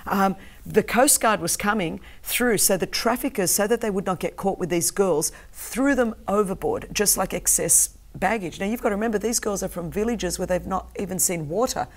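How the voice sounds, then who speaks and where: A woman's voice: mid-range voice, moderate pace. A middle-aged woman whose first language is Greek, telling an intense story as a guest on a studio talk show, gesturing emphatically. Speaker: guest, anti-trafficking campaigner. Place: TV studio set with sofas.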